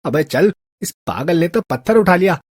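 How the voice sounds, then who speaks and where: A man playing a mocking, cowardly street prankster in a story, excitable and full of slang. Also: high-pitched, moderate pace, breathy edge, dry recording, studio quality. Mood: alarmed. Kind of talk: Hindi audio story character acting.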